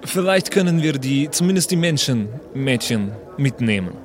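A man's voice: medium pitch, lively intonation, moderate pace, crowd noise. A man chatting casually as a player in an improvised tabletop game, rambling and repeating his words.

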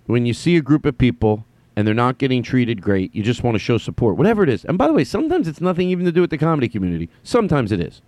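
Male solo podcast host, rambly, self-correcting, very animated pitch. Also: rapid-fire, few pauses, no echo. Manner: rambling, self-correcting, jumps between thoughts. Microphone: headphones on, studio mic.

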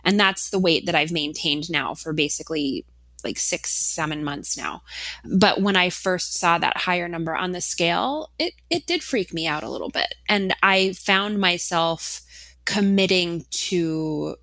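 A woman's voice: on the low side, animated, a little slow, bright, forceful tone, dry recording. A woman guest on a podcast, telling her story in a chatty, filler-heavy way. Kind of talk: casual interview chat.

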